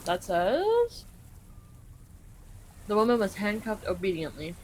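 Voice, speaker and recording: A woman's voice: medium pitch, huge pitch swings, slow pace, slight background noise. Casual, filler-heavy woman translating live. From Canada. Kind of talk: casual live translation during a game stream.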